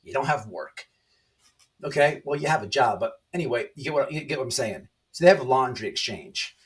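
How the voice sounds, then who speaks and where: A man's voice: mid-range voice, animated, brisk. Emphatic man commentating, a bit distant. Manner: emphatic, repeats phrases, tags sentences with "right?". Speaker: online commentary channel host. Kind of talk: casual opinionated monologue to viewers.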